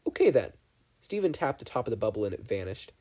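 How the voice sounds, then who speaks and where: A man's voice: on the low side, sing-song, quick pace, a little mellow. A man reading fan fiction aloud as a scripted narration. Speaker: podfic reader.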